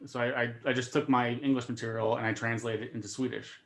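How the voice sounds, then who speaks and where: A man talks quickly and casually as a comedian on a video call. He keeps tripping over his first words and repeating them before the sentence gets going. His voice sits at a middling pitch. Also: level tone. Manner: fast-talking, repeats words while starting sentences. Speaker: comedian guest.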